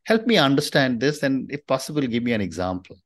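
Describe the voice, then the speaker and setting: A man hosting an interview podcast, introducing a guest and asking questions. He sounds measured and affirming, often murmuring agreement as he listens. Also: mid-range voice, very animated. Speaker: host.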